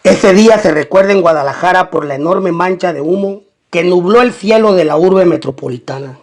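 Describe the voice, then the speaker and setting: A man's voice: high-pitched, fairly quick, darkish tone. A man reading a scripted documentary-style crime narration.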